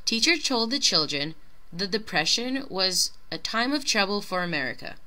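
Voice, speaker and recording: A woman reading a children's picture book aloud in an expressive, dramatic storytelling manner with clear phrasing. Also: medium pitch, normal speed. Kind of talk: expressive scripted storybook narration.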